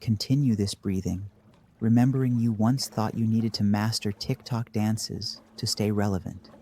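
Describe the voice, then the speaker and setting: A man narrating a scripted guided meditation in a measured, dry deadpan manner. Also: lowish voice, slight background noise.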